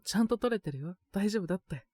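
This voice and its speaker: A woman playing a boyish, slightly mean but doting teenage girlfriend in a romantic audio drama, speaking in a soft, intimate, teasing way. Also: medium pitch, animated, fast talker, breathy edge, dry recording. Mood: gentle, reassuring. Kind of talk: Japanese romantic situation voice acting.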